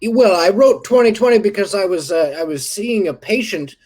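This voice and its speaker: A man's voice: high voice, lively intonation, moderate pace, a little mellow, slightly rough. A man playing a bewildered Civil War doctor who stammers and rambles in old-fashioned bluster. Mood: rambling. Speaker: guest. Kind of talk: improvised comedy character.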